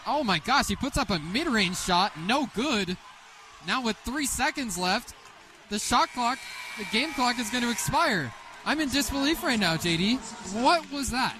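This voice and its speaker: A man in his twenties calling basketball play-by-play live on the radio in an excitable manner. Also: high voice. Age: 20s. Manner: excitable, rising sharply on big plays. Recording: live sports radio, faint background noise.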